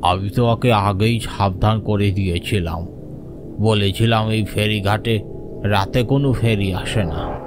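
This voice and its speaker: A man voicing a grave old ghost in a horror cartoon, giving slow, solemn warnings in a deep voice. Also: steady tone, music in the background, close to an everyday voice. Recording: noisy ambience behind the voice.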